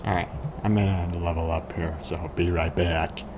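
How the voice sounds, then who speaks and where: A man's voice: low voice, level tone, moderate pace, background noise. A man giving casual, playful and sarcastic commentary while playing a video game. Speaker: gaming video host.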